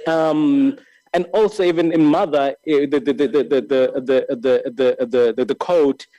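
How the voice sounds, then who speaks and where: A man in his thirties from Lesotho giving a filmmaking master class, speaking reflectively and haltingly, repeating words and restarting often. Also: medium pitch, steady tone, warm tone, poor audio. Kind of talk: reflective spoken lecture. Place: large bright hall with tall windows. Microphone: desk microphone on a stand.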